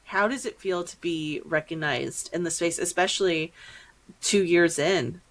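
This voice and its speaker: A woman's voice: fairly low pitch, unhurried, a bit bright, low-quality recording. A woman hosting a podcast in a casual, conversational interview style, acting out little asides as she talks. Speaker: podcast host.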